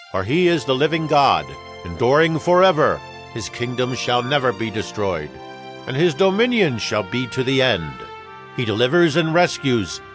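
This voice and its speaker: Regal high-voiced king over music. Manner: declamatory, regal. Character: exalted Persian king proclaiming a royal decree. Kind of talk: dramatized scripture reading. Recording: music bed behind the voice.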